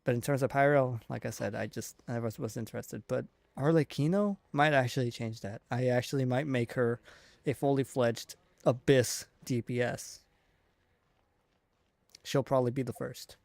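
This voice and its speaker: A man giving casual live commentary on a video game, rambling and halting as he thinks aloud. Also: mid-range voice, gravelly, a little mellow, no echo. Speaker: game streamer.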